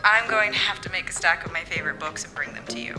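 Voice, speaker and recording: A woman's voice: on the low side, expressive, fast talker, lightly acted. An actress playing a bookish young woman, dry and teasing, in a naturalistic radio drama. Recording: music under the dialogue.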